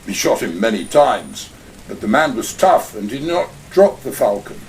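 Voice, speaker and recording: A man playing a smooth, scheming male crime boss in a community radio play, speaking in formal, elaborate phrases. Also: mid-range voice, sing-song, normal speed, recorded from a distance, a little hiss or hum, low-quality recording. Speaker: actor in community audio drama.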